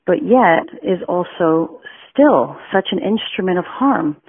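A woman's voice: fairly low pitch, expressive, measured pace, creaky, slightly rough. Woman asking a question down a tinny line. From New York. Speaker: listener caller.

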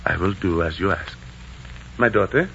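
An actor playing a proud, sorrowful captive Aztec emperor, speaking formally in a 1940s radio drama. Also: male voice, sits low, normal speed, gravelly, fairly bright tone. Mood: resigned, solemn. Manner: formal, declamatory. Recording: noisy, dull 1940s radio recording.

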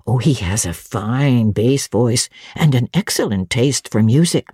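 A woman voicing an elderly, genteel housekeeper in a classic audiobook, chatty and admiring as she savours each detail in a measured way. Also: rumbling low, lively intonation, warm tone, husky, dry recording, studio quality, pitched below the performer's own voice, full character voice. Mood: fondly admiring. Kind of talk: dramatic audiobook reading of classic literature.

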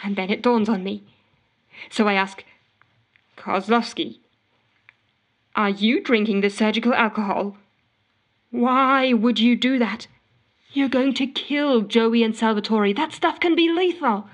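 Frantic woman played by an actress, higher voice, dramatic and exasperated audio-fiction monologue as a desperate phone call. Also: a little slow, pauses often, lightly acted. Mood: exasperated, alarmed. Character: frantic woman desperate to wash her itchy hands.

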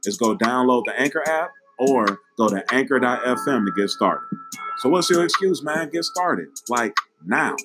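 A male host reads a sponsor spot in a casual, conversational way full of slang. His pitch is average, and music is clearly audible behind him. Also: expressive, normal speed. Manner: informal, slangy.

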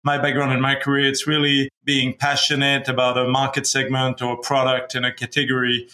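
A middle-aged man from Belgium, a company founder, speaking as a guest over a video call in a halting casual way, with many restarts and fillers. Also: medium pitch, monotone, pushed and bright. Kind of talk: casual interview talk.

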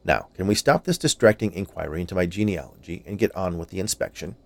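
Man as a curt, low-pitched fussy male inspector. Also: animated, quick pace, vocal fry, full character voice. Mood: curt, irritated. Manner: curt, officious, grumbling. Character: small fussy stern male health inspector, easily annoyed. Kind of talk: audiobook character voice.

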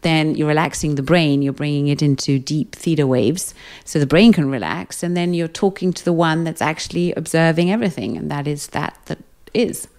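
A British woman, a hypnotherapist, speaking in a calm, soothing, measured way as an interview guest. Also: low-pitched. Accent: British English. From Britain. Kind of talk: interview conversation.

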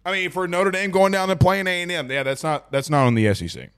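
A man hosts a sports talk show, his voice hoarse and pitched a little high. He talks in excitable bursts and hammers his points home by saying them twice. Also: quick pace. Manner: excitable, emphatic, repeats lines for effect. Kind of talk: casual sports talk show, reading viewer comments.

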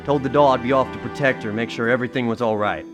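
A man's voice: medium pitch, fairly even intonation, fast, highs cut off, natural voice. An actor playing a brash, defensive, slangy businessman in a 1930s-style radio drama. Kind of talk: old-time radio drama acting. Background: music behind the voice.

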